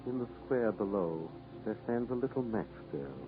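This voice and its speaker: A man's voice: lowish voice, expressive, moderate pace, phone-quality sound, lightly acted. Man playing a sweeping, solemn, sorrowful statue prince. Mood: sorrowful compassion. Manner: solemn, pleading, repeats himself. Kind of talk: 1940s radio acting. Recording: 1940s radio broadcast over music.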